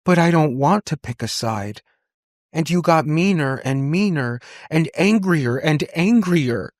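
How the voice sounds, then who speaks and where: A man's voice: on the high side, expressive, unhurried, no room sound, studio quality, voice pushed up. A man voicing a frightened little girl in an audiobook story, hesitant and earnest in her distress. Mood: tearful, distressed. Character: frightened little girl, tearfully recounting a nightmare.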